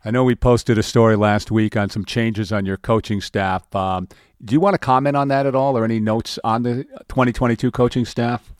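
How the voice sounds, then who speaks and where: A man's voice: mid-range voice, steady tone, brisk, no echo, clean studio sound. A man hosting a sports news interview, asking questions in loose, hesitant phrasing.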